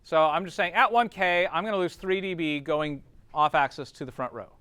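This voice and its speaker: A man's voice: a little high, a little fast, sharp tone, clear, resonant tone, no room sound. A man teaching a class informally, explaining step by step and often checking understanding with short tag questions.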